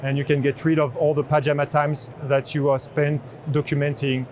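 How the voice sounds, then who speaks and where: A man with a slightly high voice presents a product off the cuff. He explains earnestly, often pausing and repeating words. There is noticeable exhibition hall noise behind him. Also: little pitch movement, darkish tone. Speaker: chief product officer giving a product demo. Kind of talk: spontaneous product demo presentation. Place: indoor trade show booth in large exhibition hall. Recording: busy convention hall background noise.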